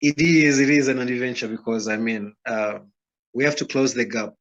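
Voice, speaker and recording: Calm man, dull lo-fi sound. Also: mid-range voice. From Uganda, Rwandan roots. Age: 30s. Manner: calm and measured, unhurried with pauses. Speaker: guest musician. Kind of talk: interview answers.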